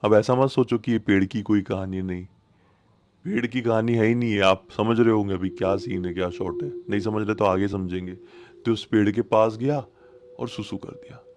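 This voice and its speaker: A man telling a horror story informally, in a conversational and dramatic retelling that speaks straight to the listener. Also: fairly low pitch. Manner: animated retelling, addresses listeners directly, repeats phrases for suspense.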